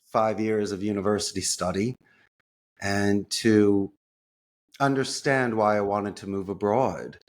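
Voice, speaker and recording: An American man speaking as an interview guest, telling personal stories in an enthusiastic, expressive way with colorful phrasing. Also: lowish voice, a little slow. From United States. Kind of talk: conversational personal storytelling.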